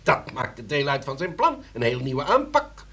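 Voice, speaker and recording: An actor in a Dutch radio play playing a shrewd, deliberate older relative who poses as a police commissioner, speaking with measured authority. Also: male voice, mid-range voice, huge pitch swings, bright, forceful tone, slightly rough, exaggerated voice. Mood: stern, assured.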